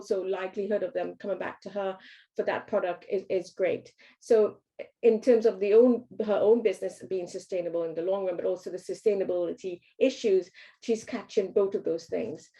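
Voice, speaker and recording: A woman's voice: mid-range voice, mellow, low-quality recording. A woman from Trinidad and Tobago, giving a business workshop talk, hesitant with frequent ums and restarts.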